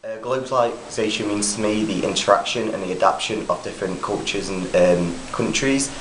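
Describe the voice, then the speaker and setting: Low-voiced young man. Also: a little fast. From England, near Manchester. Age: young adult. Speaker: exchange student interviewee. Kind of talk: interview answers. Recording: roomy with faint hiss.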